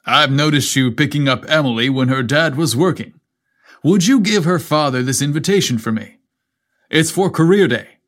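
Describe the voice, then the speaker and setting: A man's voice: fairly high pitch, moderate pace, a bit bright, close to an everyday voice. A man voicing a polite, composed male schoolteacher, courteous and articulate, in a story reading.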